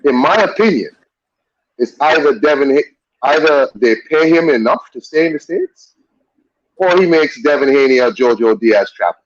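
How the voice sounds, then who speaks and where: A man's voice: mid-range voice, animated, moderate pace, slight background noise. A man co-hosting a casual boxing talk show, arguing his opinions bluntly and with emphasis. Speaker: podcast co-host. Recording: muffled dry audio.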